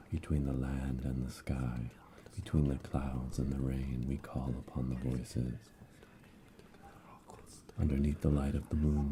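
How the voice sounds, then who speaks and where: A man voicing a solemn witch coven elder in an ASMR roleplay, hushed and breathy, chanting ominously close to a binaural microphone. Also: extremely low pitch, slight background noise. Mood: solemn, incantatory. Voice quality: breathy whisper. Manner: slow, incantatory, repetitive, ominous.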